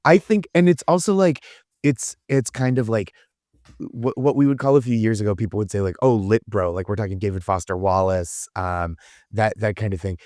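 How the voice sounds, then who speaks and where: A man with a slightly low voice, recorded very dry with no room sound. He riffs conversationally as a comedy podcast guest, wry and casual. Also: normal speed, darkish tone, dry recording.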